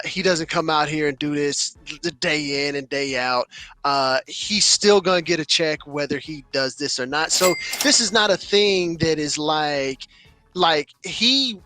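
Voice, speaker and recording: A man co-hosting a casual podcast, talking through his views in a measured way with frequent fillers. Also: a little high. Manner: measured, thinking aloud with many fillers. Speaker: podcast co-host.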